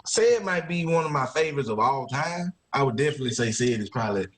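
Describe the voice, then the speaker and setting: A man's voice: medium pitch, animated, fast talker, fairly bright tone. A man hosting a podcast in casual, slangy banter peppered with profanity. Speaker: podcast host.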